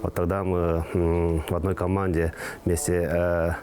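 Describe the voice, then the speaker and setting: A man from Tuva, a native Tuvan speaker, giving an unscripted interview haltingly, with constant hesitation sounds as he searches for words. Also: low-pitched, level tone, dark tone. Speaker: project organizer being interviewed.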